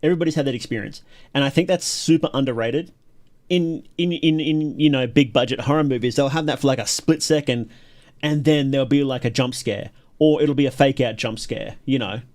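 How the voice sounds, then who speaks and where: Guy streaming reactions to videos, chatty and into it, repeats himself for emphasis, medium pitch. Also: fairly quick, a little mellow. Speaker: livestream host reacting to videos. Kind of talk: casual live reaction commentary.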